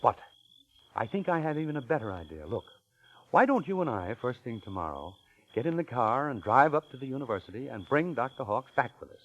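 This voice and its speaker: A man's voice: mid-range voice, very animated, fairly quick, muffled recording. An actor playing a genteel older con-man professor in an old radio suspense drama, avuncular and wordy, given to flustered stammering. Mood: coaxing.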